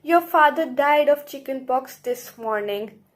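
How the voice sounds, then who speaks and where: A woman's voice: high-pitched, unhurried. A woman playing a breezy, flippant royal minister in an amateur puppet show, chummy with the king. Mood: flippant, upbeat.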